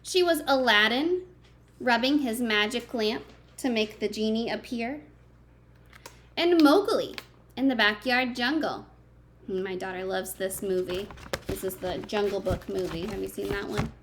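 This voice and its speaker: A woman's voice: mid-range voice, a little slow, a little hiss or hum. A woman reading a picture book aloud to young children like a teacher, expressively, with simple chatty asides and questions to her listeners.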